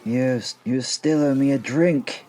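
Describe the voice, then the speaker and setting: A man's voice: medium pitch, a little slow, warm tone, pitched above the performer's own voice, close to an everyday voice. A man voicing a jovial, battle-hardened medieval knight in an audio drama, with a theatrical, exclaiming manner. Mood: shaken, pained. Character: battle-hardened, jovial, wine-loving male medieval knight, indignantly loyal. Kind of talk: audio drama character acting.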